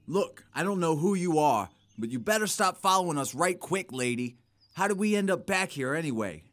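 A man playing a bossy, impatient, streetwise small-time crook in an audio drama, with a gruff manner. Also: a little high, expressive, moderate pace, fairly bright tone. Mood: defiant. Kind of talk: audio theater acting. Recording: faint background noise.

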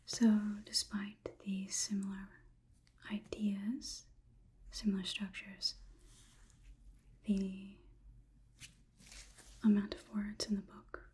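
A female robot overlord, played by a young woman, examines a human in an ASMR roleplay. The voice is a soft, mellow whisper at a middling pitch, measured and analytical. Faint noise and soft clicks sit behind it. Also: monotone, natural voice. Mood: detached, analytical. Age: young adult. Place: small indoor room, plain wall.

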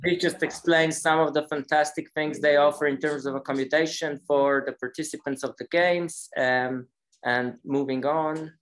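A man giving a slide presentation on a video call, speaking in a halting, hesitant way. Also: a little high, fairly even intonation, fairly bright tone, rough recording. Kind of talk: slide presentation at an online meeting. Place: meeting room, on a video call.

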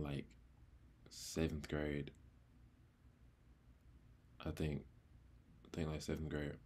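A young man streaming, reacting to a video with blunt, slangy, loud asides from his home setup. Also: very deep voice. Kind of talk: casual reaction commentary.

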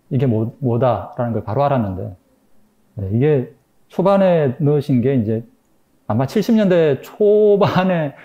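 A man's voice: mid-range voice, dark tone, muffled recording. A middle-aged man giving an academic lecture in a room, thoughtful and hesitant, with many fillers and restarts.

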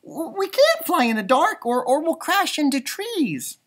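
Young female storybook bat played by a man: earnest, eager, breathless, lifted swooping pitch. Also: very animated, unhurried, fairly bright tone, heavily acted. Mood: frightened. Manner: eager, breathless, stammering when worried.

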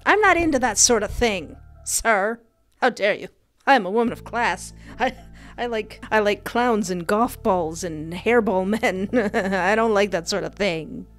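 A young woman does a let's play at a close microphone, reading game narration aloud. She is playful and sassy, with a medium-pitched voice over faint music. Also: lively intonation, unhurried. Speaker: streamer, narrator. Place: indoors at a desk. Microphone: headset and close desk microphone.

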